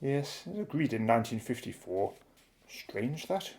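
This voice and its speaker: A man playing a daring, eccentric middle-aged British inventor in an audio drama, explaining things in a declamatory, clipped way, with a creaky voice. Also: medium pitch, very animated, measured pace, a little mellow. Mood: musing, wary. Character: eccentric, daring British male inventor, secretive and self-assured.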